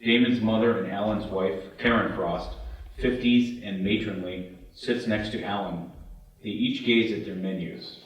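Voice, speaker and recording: A man with a fairly low voice reads screenplay scene descriptions. He is recorded at a distance in a room with heavy echo. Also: fairly even intonation, measured pace. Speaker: narrator reading stage directions. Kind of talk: scripted narration at a table read. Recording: very echoey room, far from mic.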